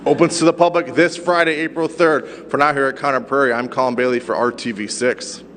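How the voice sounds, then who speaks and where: A man's voice: medium pitch, fairly even intonation, a little fast, fairly bright tone. An American man reporting on location for television news. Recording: reverberant hall, faint background noise.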